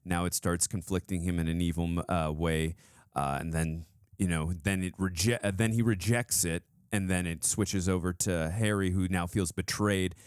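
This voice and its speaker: A man's voice: deep voice, steady tone, moderate pace, smooth, no echo, studio quality. A man hosting a reaction show, chatting casually with effusive, emphatic enthusiasm. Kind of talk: casual enthusiastic review chat.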